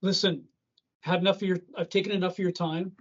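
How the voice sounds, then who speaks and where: A man with a North American accent, conducting a conversational interview in a polite, halting way. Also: high voice, flat delivery. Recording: dull, lo-fi call audio.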